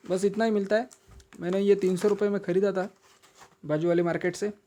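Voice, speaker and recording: An Indian man with a rather high voice and a relaxed, dark-edged tone hosts a casual product unboxing. He explains things step by step in an instructional way, hesitating as he goes and restating his advice. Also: a little fast. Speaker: video host and product reviewer.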